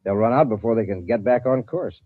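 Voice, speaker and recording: An actor playing a gruff, slangy, conspiratorial henchman in an old-time radio space drama. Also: medium pitch, fairly quick, no room sound, close to an everyday voice. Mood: impressed, sly. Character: crooked henchman to a scheming villainess. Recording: muffled vintage radio recording.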